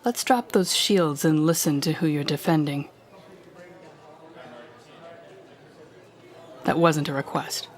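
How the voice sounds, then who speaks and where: A woman's voice: low-pitched, normal speed, lightly acted. A woman playing a cold, commanding telepathic villain who taunts a captive hero in an intimate audio roleplay. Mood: scornful. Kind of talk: intimate second-person audio roleplay acting.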